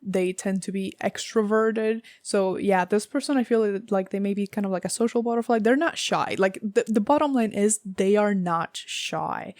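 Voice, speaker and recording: A young woman with a raspy, sore-throated voice, giving a casual tarot reading in a rambling way, full of fillers and the odd swear word. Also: mid-range voice, a little mellow, no echo, studio quality. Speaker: tarot reader.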